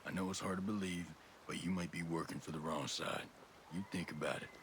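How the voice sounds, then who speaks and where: An actor playing a gruff, resolute special forces soldier in a video game, blunt and matter-of-fact. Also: male voice, low voice, fairly even intonation, fairly quick, a bit bright, slight background noise. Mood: grave. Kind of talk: cinematic game acting.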